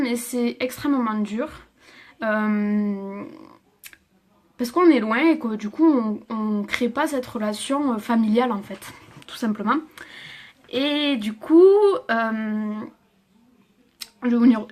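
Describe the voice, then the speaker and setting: A woman in her twenties from Toulouse, telling her personal story on a live stream, hesitant with many fillers and close to tears. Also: fairly high pitch. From France. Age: 20s. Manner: hesitant, many fillers, laughs and reads viewer comments. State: emotional, near tears. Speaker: livestreamer.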